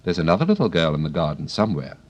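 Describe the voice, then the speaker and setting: A man's voice: sits low, sing-song, very fast, lo-fi sound. A man reading a storybook and voicing a polite, curious young girl in an earnest, inquisitive manner.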